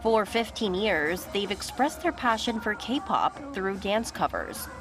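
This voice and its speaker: A woman's voice: mid-range voice, lively intonation, slow pace, rough recording. A woman news reporter reading a scripted narration for a report. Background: music.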